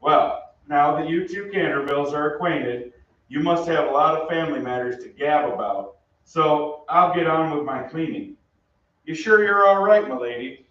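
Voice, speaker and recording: A man's voice: a little high, normal speed, a bit bright, lightly acted. A man playing a brash, good-natured American soldier with an American accent, slangy and breezy, in an old-time radio drama. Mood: reassuring. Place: on stage in a theatre. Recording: distant, echoey, muffled stage, faint noise.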